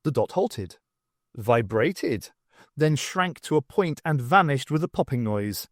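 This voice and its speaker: A man reading an audiobook story as scripted narration, in an expressive, dramatised manner. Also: medium pitch, a little slow, no room sound, studio quality. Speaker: audiobook reader. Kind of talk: dramatised reading with voices for characters.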